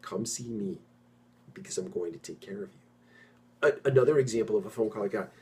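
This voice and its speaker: A middle-aged man talking informally to his industry peers as an executive host, stressing points by repeating phrases and pausing mid-sentence. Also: fairly low pitch, mellow. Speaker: property management executive and host.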